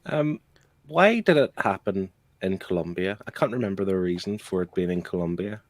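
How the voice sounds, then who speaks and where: A man's voice: low voice, lively intonation, normal speed, no echo. A man in a casual comic book discussion, talking in a questioning, insistent way. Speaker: podcast book club host.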